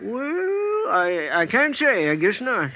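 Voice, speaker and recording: A man's voice: very high voice, wildly swooping pitch, takes it slowly, lo-fi sound, heavily acted. An actor playing a cagey, chatty old stage doorman in an old-time radio drama, hesitant and folksy, full of hems and haws. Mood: cagey, reluctant. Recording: vintage radio recording, band-limited, faint noise.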